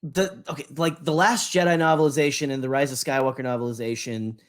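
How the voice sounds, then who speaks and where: A man's voice: medium pitch, fairly even intonation, fast talker. A man co-hosting a podcast in a casual fan discussion, rambling enthusiastically, often trailing off and repeating his words. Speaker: podcast co-host.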